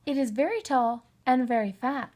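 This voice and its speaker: A woman's voice: high voice, normal speed, darkish tone. A woman voicing an opinionated young boy from a children's storybook, speaking in an emphatic, childlike way.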